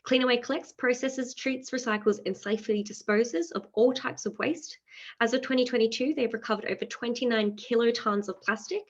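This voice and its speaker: A fast-talking woman hosts an online investing webinar and reads her prepared introduction briskly. She fills her pauses with um. Her voice comes through a dull, lo-fi video call line. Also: a little high. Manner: brisk, with frequent "um" fillers. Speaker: webinar host. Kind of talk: reading a scripted company introduction.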